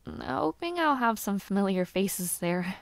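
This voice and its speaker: A woman playing a bashful, playful young noble lady who aspires to knighthood in an audio roleplay, with halting, giggly speech and formal wording. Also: mid-range voice, sing-song, vocal fry, dry recording. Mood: chatty, wry. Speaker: roleplay voice actor. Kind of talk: intimate scripted audio roleplay.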